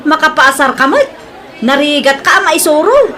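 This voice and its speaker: A high, sharp-tongued female supervisor with an Ilocano accent, acted by a woman. She scolds impatiently and sounds exasperated, as a comedy-drama figure. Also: very animated, normal speed, some chatter behind. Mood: irritated scolding. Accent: Filipino, Ilocano.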